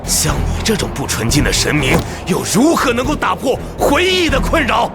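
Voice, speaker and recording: A man playing an arrogant, easily rattled villain summoner in an animated fantasy, boastful and sneering in a theatrical way. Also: high-pitched, moderate pace, vocal fry, exaggerated voice. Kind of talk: animated fantasy motion-comic dialogue. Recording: loud dramatic music bed.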